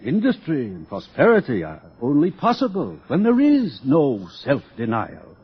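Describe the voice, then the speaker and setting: A man's voice: mid-range voice, huge pitch swings, measured pace, breathy edge, exaggerated voice. A man playing an urbane, smug older world controller in a theatrical radio drama, pompous and patronizing as he lectures. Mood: smooth, patronizing. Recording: dull, lo-fi radio drama recording.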